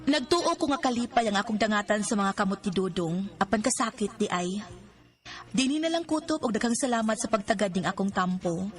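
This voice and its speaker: An actress playing an anguished, pleading young wife in a radio drama, with a Cebuano Filipino accent and an emotional delivery. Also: female voice, mid-range voice, animated, brisk, natural voice. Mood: sad narration. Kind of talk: Philippine radio drama acting. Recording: music bed under dramatized dialogue.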